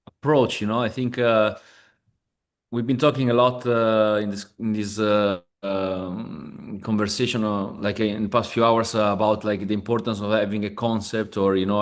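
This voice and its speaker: Lowish man moderating with many fillers. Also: flat delivery, normal speed. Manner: warm-up fillers, rambling. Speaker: class tutor and moderator. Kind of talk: giving feedback in an online class. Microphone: video call.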